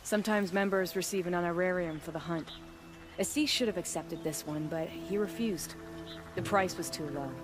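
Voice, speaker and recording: An actress playing a blunt, commanding seasoned hunter in a video game, with a brusque, no-nonsense manner. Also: female voice, fairly low pitch, normal speed, music in the background, natural voice. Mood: matter-of-fact. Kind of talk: cinematic game dialogue.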